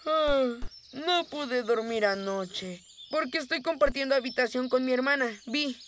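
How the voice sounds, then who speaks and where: A woman playing a gentle, good-natured young boy bear in a cartoon dub, with a mild, hesitant, childlike manner. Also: sits high, measured pace, slight background noise. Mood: drowsy, apologetic. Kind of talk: dubbed children's cartoon acting.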